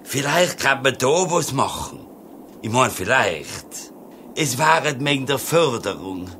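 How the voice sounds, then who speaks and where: An actor playing a naive but calculating shepherd farmer with a Tyrolean Austrian accent in a satirical radio play. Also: male voice, mid-range voice, sing-song, slow pace, pushed and bright, a little hiss or hum, exaggerated voice. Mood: wheedling. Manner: hesitant, wheedling, colloquial.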